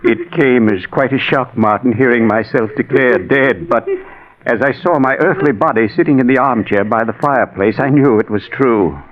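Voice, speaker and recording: A man's voice: medium pitch, normal speed, natural voice. An actor playing an earnest dead man's spirit, narrating solemnly and theatrically in 1940s radio style. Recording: thin, phone-like old radio recording.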